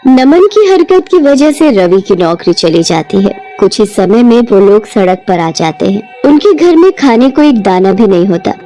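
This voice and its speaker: A woman narrating an animated bedtime story in a gentle, even read-aloud manner. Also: medium pitch.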